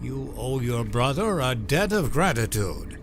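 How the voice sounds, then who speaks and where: A man's voice: mid-range voice, big pitch swings, measured pace, faint music behind. A man playing a stern, pompous wolf father and pack leader with theatrical delivery in a sci-fi audio drama.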